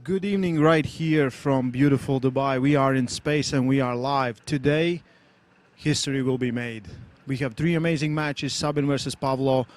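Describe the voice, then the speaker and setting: A male sports commentator opening a live broadcast, building excitement in short declarations. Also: medium pitch, moderate pace.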